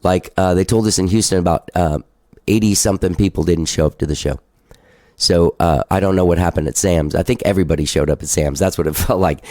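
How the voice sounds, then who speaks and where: A man's voice: low-pitched, a little fast, a little mellow, no room sound, studio quality. A male podcast host with a stuffed-up nose, chatting casually and loosely, swearing now and then and laughing at himself.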